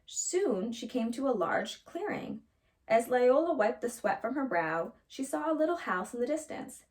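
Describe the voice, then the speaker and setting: A woman reading a children's storybook aloud as a storyteller, in an expressive narrating style. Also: a little high, normal speed.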